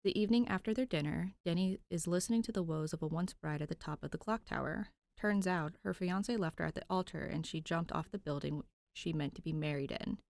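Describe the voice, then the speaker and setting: A woman's voice: lowish voice, brisk, vocal fry, few pauses, a little mellow, no room sound. A woman podfic reader narrating fan fiction expressively, with an informal, conversational touch.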